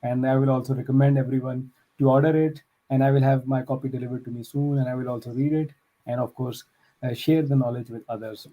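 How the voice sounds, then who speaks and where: A man's voice: medium pitch, fairly even intonation, fast talker, highs cut off. A man, a tech entrepreneur from Pakistan, speaking as a friendly, earnest guest on a video call.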